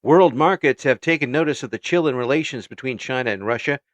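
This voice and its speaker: A male radio news anchor, played by an actor, delivers scripted bulletins in a formal, measured way. His mid-pitched voice sits in a very dry recording with no room around it. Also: dry recording, natural voice.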